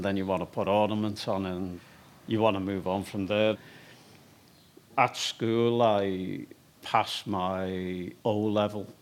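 Older Liverpool man, low-pitched, telling his story with halting fillers; light hiss behind. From England. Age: 60s. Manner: hesitant, with many fillers and restarts. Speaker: stone carver. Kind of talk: relaxed interview storytelling.

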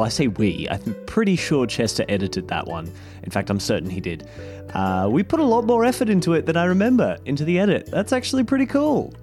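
A man's voice: on the high side, a little mellow. A man hosting a podcast, talking casually and directly to listeners in an informal sign-off. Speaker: podcast host. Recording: music under the voice.

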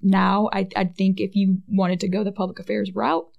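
A woman's voice: medium pitch, completely flat delivery, moderate pace, gravelly, dull audio. A woman in her thirties with a Midwestern American accent, chatting casually as an interview guest, often adding "right?" and "like". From Michigan. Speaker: guest, Air Force public affairs NCO.

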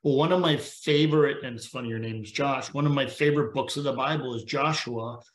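A man's voice: mid-range voice, a little fast. A middle-aged man, a retired police officer, speaking as a podcast guest over a video call, telling stories in an earnest, preachy way. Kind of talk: casual conversation. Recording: roomy echo on a video call.

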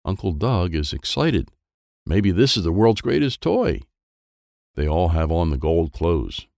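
A man voicing an excitable, wistful small boy who narrates a children's storybook in simple, wide-eyed phrases. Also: low-pitched, measured pace, gravelly, no echo, clean studio sound, exaggerated voice. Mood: eager, wistful. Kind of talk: first-person present-tense children's storybook narration.

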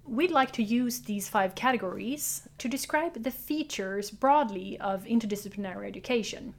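A woman's voice: fairly high pitch, expressive, moderate pace. A female university lecturer reading scripted narration methodically.

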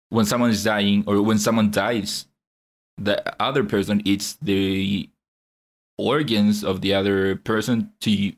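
A young man co-hosting a podcast in casual chat, earnestly explaining a film's story at length. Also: fairly low pitch. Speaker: podcast co-host.

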